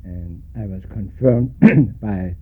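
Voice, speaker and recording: An older man from Denmark with a Danish accent, recalling his life in an oral history interview, pausing often and spelling out names letter by letter. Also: on the low side, slightly rough. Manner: slow, spells out names letter by letter, many hesitations. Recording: tinny lo-fi recording with faint hiss.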